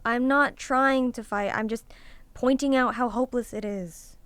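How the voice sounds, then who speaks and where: A clean, close, dry recording of a bitter young student played by a woman in an audio drama. The delivery is sardonic and argumentative, in a smooth, clear medium-pitched voice. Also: steady tone, moderate pace, fairly bright tone, dry recording, close to an everyday voice. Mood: sarcastic, irritated. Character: bitter, pessimistic young college student named Walter, stranded and sarcastic. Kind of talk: amateur audio drama acting.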